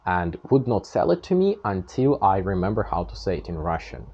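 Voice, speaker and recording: A man's voice: low-pitched, big pitch swings, fairly quick, darkish tone, breathy edge, muffled recording. A young man from Ukraine with a Ukrainian accent, earnestly recounting his own past in a plain-spoken monologue. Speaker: channel host. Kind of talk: personal storytelling monologue.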